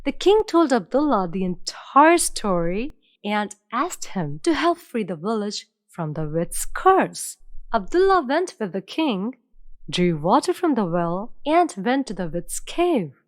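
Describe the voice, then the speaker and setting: Lady reading a fairy tale, lively up and down pitch, slow, dry sound. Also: no echo. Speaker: narrator. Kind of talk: storybook narration.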